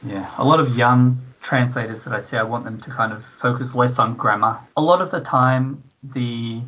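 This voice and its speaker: A man working as a translator, explaining his work in a relaxed, casual interview. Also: mid-range voice, normal speed, highs cut off.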